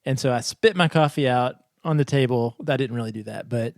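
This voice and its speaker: Relaxed male podcast co-host. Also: mid-range voice, fairly quick, creaky, no echo, clean studio sound. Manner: relaxed storytelling, self-deprecating. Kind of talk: casual podcast chat.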